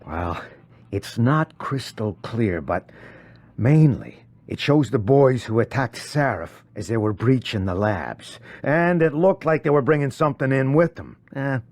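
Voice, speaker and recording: A crooked cop in a cinematic game. The pitch swings widely in a dry, close recording, with a crude, sneering, slippery streetwise edge. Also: male voice, lively intonation, unhurried, darkish tone, no echo. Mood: nervous, evasive. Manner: foul-mouthed, sneering, streetwise. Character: crude, hostile, corrupt male police officer, evasive under pressure. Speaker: video game character.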